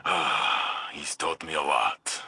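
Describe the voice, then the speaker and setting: An actor playing a proud, gruff, sentimental robotic old soldier in a video game cutscene, solemn and grandiose. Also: male voice, extremely low pitch, sharp tone, a little hiss or hum, heavily acted. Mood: warm respect. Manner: solemn, grandiose, slow storytelling.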